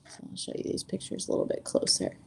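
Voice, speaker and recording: A woman reading a storybook aloud to children as a teacher, with an expressive, deliberate storytelling delivery.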